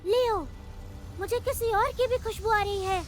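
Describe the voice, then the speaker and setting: A voice actor playing an excitable, cheeky young male tiger cub in a cartoon dub, childlike and eager. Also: very high voice, normal speed. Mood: alarmed. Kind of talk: Hindi cartoon dub acting. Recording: music under the voice.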